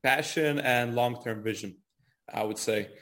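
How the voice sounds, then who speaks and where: A man's voice: mid-range voice, unhurried, fairly bright tone. Casual man in his early twenties. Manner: repeats words, frequent "kinda" and "you know". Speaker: trader and trading educator being interviewed. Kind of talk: casual interview answers.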